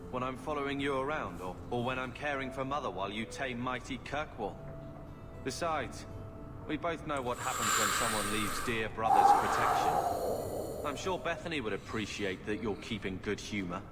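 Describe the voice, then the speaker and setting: A resentful young man acted by a man in a video game, the brooding younger brother. His mid-pitched voice carries bitterness and sarcasm, with music clearly playing underneath. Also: expressive, normal speed, natural voice. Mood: angry, accusing.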